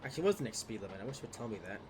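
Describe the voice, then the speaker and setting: A man's voice: lowish voice, expressive, very fast, noisy background. A young man with a slightly stuffed-up voice from a cold, casually commenting on his gameplay with many fillers and trailing asides. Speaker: gaming video host.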